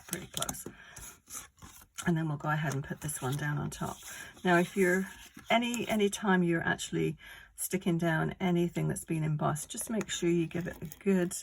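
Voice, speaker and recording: A woman giving a casual card-making tutorial, croaky from a cold, talking through each step in a chatty, rambling way. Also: fairly low pitch, a little hiss or hum, low-quality recording. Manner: chatty, rambling step-by-step explanations with many fillers.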